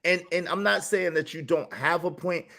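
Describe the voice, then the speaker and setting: A man hosting an online panel discussion, making a measured but insistent argument with frequent restarts. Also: on the high side, creaky, pushed and bright. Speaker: panel host. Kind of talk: heated panel debate.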